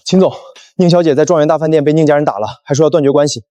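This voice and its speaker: Actor as boss's assistant, man, super fast and urgent, mellow tone, short drama. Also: on the high side, no echo, close to an everyday voice. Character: urgent, deferential male assistant to a boss.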